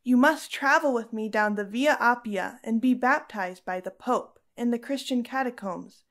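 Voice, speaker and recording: A woman's voice: fairly high pitch, moderate pace, a bit bright. An actress playing a devout, resolute young Roman noblewoman in an audio drama, speaking earnestly and firmly. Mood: solemn, firm. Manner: earnest, firm.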